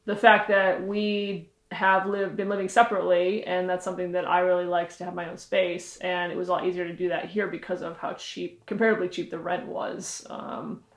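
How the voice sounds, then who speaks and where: A young woman co-hosting a travel vlog, talking in a relaxed, chatty way. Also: on the low side, creaky, reverberant. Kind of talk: casual chat.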